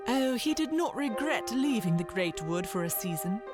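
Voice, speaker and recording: A woman's voice: a little high, big pitch swings, normal speed. A woman giving scripted storybook narration as the narrator of an audio drama. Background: loud music.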